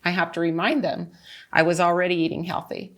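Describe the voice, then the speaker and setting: A woman's voice: lowish voice, level tone, brisk. A woman in her early sixties speaking conversationally as a consultant on a panel, sharing personal testimonial.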